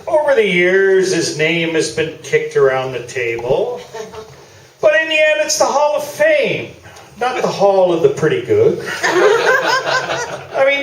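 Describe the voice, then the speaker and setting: Pompous, partisan sports pundit played by a male actor, high-pitched, comic stage radio play, echoey and distant. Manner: pompous, self-assured. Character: pompous, partisan old-school male sports columnist and hall of fame chairman. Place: small theatre, live performance. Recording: roomy theatre stage, distant mic.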